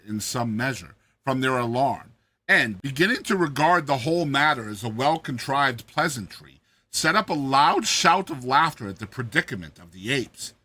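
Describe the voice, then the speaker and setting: Man, dramatized story narration, intense bright tone, animated intonation, theatrical deliberate phrasing. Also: on the high side. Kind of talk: dramatized reading of a classic short story.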